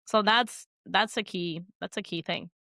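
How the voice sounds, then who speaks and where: A woman's voice: medium pitch, a little slow, vocal fry, sharp tone, no room sound. Woman podcast co-host, chatty and rambling, dry close recording. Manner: chatty, many fillers and restarts. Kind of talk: casual chat.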